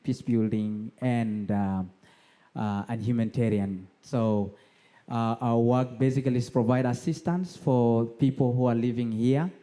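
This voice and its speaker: A male conference speaker with an East African accent explains his work in a prepared presentation. His tone is extremely mellow and dark, with little edge to it. He speaks in a halting way, pausing often as he lays out each point. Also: medium pitch. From South Sudan. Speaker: conference speaker, NGO co-founder.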